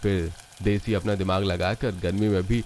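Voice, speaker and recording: A man's voice: low voice, steady tone, moderate pace, a little mellow, slight background noise. A man reading scripted storybook narration. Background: background music.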